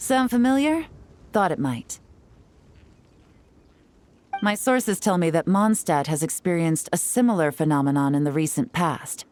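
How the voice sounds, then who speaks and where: A woman playing a cool, shrewd young intelligence agent in a video game, speaking in a measured, knowing, composed way. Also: on the low side, a little mellow, lightly acted. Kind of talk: video game voice acting, story exposition.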